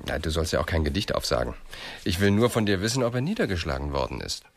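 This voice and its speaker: An actor in a radio crime drama playing an educated, probing, matter-of-fact police investigator. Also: male voice, low voice, very animated, fast, vocal fry, no room sound, heavily acted. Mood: dry, probing.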